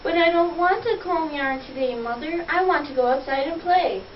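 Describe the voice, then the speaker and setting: A woman's voice: sits high, moderate pace, close to an everyday voice. A woman voicing a reluctant, sulky child who pleads to play, in an amateur puppet show. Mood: whiny pleading. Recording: roomy, distant, dull hissy recording.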